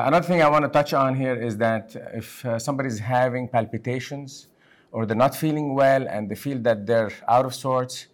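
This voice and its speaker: A man from Lebanon hosting a conversational medical podcast as a physician, speaking in halting, emphatic bursts. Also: mid-range voice. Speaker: podcast host, physician and section head.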